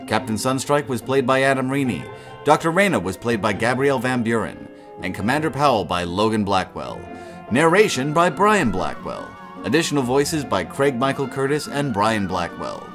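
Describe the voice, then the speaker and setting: A man's voice: medium pitch, very animated, moderate pace, fairly bright tone, faint music behind. A man narrating a radio play and reading its credits as a scripted announcer.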